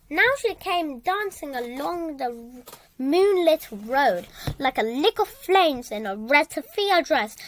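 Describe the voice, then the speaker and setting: Slow, high-pitched female storybook reader. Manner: halting, repeats and corrects words while reading. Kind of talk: reading a children's story aloud.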